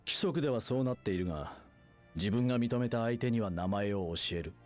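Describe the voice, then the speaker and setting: A man playing a steady, respectful soldier and loyal comrade in an anime game, calm and courteous. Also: medium pitch, very fast, faint music behind, natural voice. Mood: calm, friendly.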